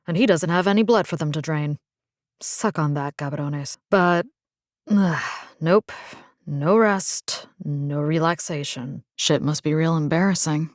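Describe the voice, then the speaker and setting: An actress voicing a tough, caring young military rescue engineer in a video game, salty, wry and resolute. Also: female voice, sits low, a little slow, no echo, studio quality. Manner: salty, plain-spoken, wry. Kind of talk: scripted video game character voice lines.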